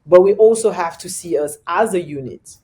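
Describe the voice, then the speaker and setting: A speaker with a thick French accent, a European upbringing clear in the voice. The talk is casual and animated, full of "you know" and quick asides, at an everyday middle pitch. It sounds like a relaxed podcast conversation. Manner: animated storytelling with frequent "you know" fillers. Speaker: podcast guest.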